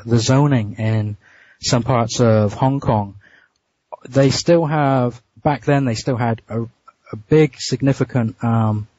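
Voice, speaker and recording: A man being interviewed, telling a story in a casual, halting way with frequent restarts and repeated words. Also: mid-range voice, warm tone, no echo. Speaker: interview guest. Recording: muffled dry lo-fi line.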